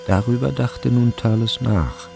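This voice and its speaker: A man narrating a literary radio play in a measured, solemn storyteller's manner. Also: mid-range voice, gravelly, over music. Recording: faint background hiss.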